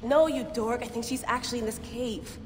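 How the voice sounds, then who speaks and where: An actress playing a driven, stubborn modern researcher in a video game, insistent, in a reverberant space with noise behind. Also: female voice, on the high side, fairly even intonation, brisk, close to an everyday voice. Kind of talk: naturalistic video game acting.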